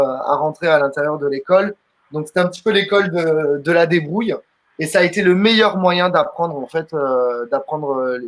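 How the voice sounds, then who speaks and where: A man in his twenties, a comedian from southern France, answering interview questions in a chatty way with many fillers and restarts. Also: a little high. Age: 20s. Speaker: guest comedian.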